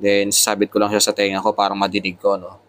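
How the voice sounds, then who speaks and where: A man's voice: on the low side, monotone, fast talker, fairly bright tone. A man with a Filipino accent giving a casual product tutorial, explaining things step by step as a motovlogger. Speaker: motovlogger reviewing motorcycle intercoms.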